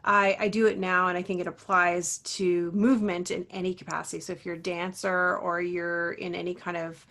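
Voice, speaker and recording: A woman's voice: lowish voice, flat delivery, moderate pace, a bit bright. A woman voice teacher and coach, an interview guest who talks earnestly and encouragingly, with lots of casual fillers. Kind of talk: interview talk.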